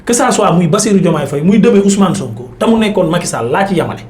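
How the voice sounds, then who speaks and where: A man's voice: high voice, lively intonation, fast, reverberant, slight background noise. A Senegalese man speaking as a political commentator in an emphatic, insistent debating style. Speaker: political commentator on a talk show. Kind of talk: argumentative political debate, mixing Wolof and French.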